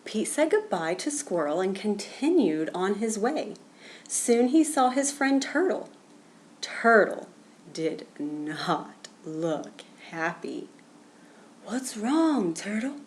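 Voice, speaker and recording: A woman's voice: medium pitch, big pitch swings, slow, a little hiss or hum. A woman narrating a children's picture book aloud. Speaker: storybook reader.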